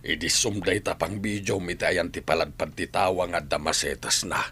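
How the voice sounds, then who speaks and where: A man's voice: deep voice, steady tone, moderate pace, bright, forceful tone, slight background noise. A man with an Ilocano accent playing a stubborn, wary village husband and father in an audio drama. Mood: urgent, wary. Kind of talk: scripted audio drama acting.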